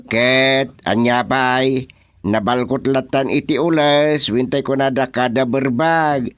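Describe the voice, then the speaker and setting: A man performs a village chairman hatching business schemes in a radio comedy. He speaks with an Ilocano accent at a slow, persuasive pace, in a middling male pitch. The sound is dry and close. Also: dry recording, lightly acted.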